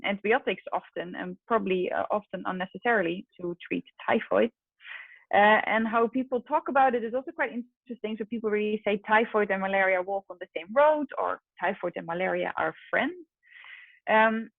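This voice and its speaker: A woman's voice: medium pitch, creaky, no room sound. A woman presenting research in an informal, explanatory lecture style. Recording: muffled, band-limited online call audio.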